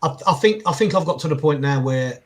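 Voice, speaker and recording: A man's voice: fairly high pitch, lively intonation, fast talker. A man co-hosting a live fan stream, reading out chat comments and thinking aloud with stumbling restarts. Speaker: co-host reading viewer comments.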